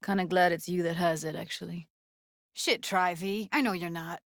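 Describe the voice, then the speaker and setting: A woman's voice: fairly low pitch, fairly even intonation, normal speed, no room sound, studio quality, close to an everyday voice. An actress playing a tough, sardonic, emotionally raw young woman techie in a video game, talking in a blunt, slangy, streetwise way. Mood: subdued, bitter grief. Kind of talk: naturalistic video game voice acting.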